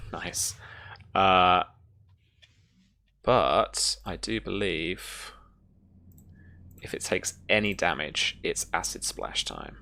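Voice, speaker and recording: A game master running a tabletop roleplaying game, explaining rules step by step in a relaxed, informal way. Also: deep voice, vocal fry.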